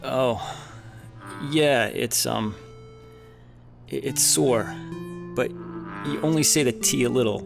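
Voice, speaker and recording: An actor playing a confused young musician who cannot recall recent events. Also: male voice, mid-range voice, takes it slowly, gravelly, long pauses, over music.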